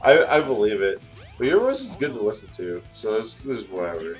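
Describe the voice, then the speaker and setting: A man's voice: fairly low pitch, very animated, a little fast, faint music behind. A man with a casual, profane, self-deprecating way of talking, chatting and reading a story aloud during a game playthrough. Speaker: guest reading fan fiction aloud.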